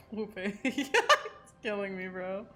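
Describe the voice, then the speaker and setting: A woman's voice: mid-range voice, big pitch swings, drawn-out words. A woman streamer giving casual, slangy, playful reactions to a game as she plays. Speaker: gaming streamer. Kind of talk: casual gameplay reaction commentary. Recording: streamer voice over game music.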